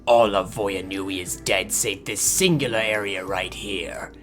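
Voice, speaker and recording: An extremely low-voiced robotic schemer played by an actor in a cartoon fan dub. The villain is cunning and cerebral, laying out points with deliberate condescension. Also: fairly even intonation, fairly bright tone, faint music behind. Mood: condescending.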